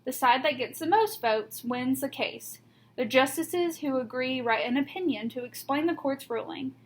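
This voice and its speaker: A woman reading a children's picture book aloud like a teacher, with deliberate emphasis on key words. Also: sits high. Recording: noticeable background noise.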